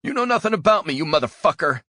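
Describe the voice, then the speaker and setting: A man voicing a terrified captive man who shouts and pleads in a high, strained voice. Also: big pitch swings, moderate pace, slightly rough, heavily acted. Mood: defiant shouting. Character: panicked captive man, defiant then terrified.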